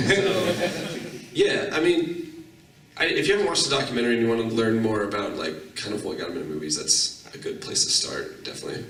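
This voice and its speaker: A fast-talking man with a medium-pitched voice chats as a panelist. He is offhand and slangy, recorded a little way from the mic in an echoey room. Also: a bit bright, distant mic. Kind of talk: casual panel chat.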